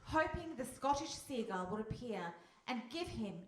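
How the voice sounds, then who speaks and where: High-voiced woman narrator in an echoey hall. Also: animated, normal speed. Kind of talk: scripted storytelling narration, live radio play. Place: hall, live stage performance. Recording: distant mic, echoey hall, faint noise.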